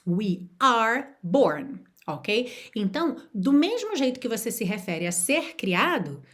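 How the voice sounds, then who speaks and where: A woman teaching an online lesson in a fairly high, very animated voice. She speaks straight to the viewer in an encouraging way. She slows down to model each example phrase and then repeats it. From Rio de Janeiro, Brazil. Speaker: language teacher.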